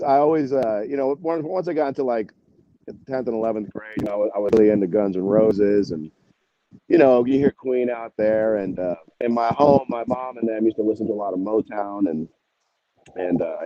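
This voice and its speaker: A man's voice: fairly low pitch, moderate pace, lo-fi sound. A man talking casually as an interview guest, a singer telling stories in a rambling, slangy, salty way. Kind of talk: casual interview chat, anecdotes.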